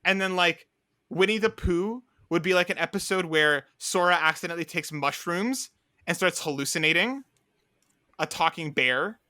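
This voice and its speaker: A man's voice: high voice, normal speed, bright, forceful tone, no echo, clean studio sound. A man rambling through a casual podcast chat as co-host, full of filler words.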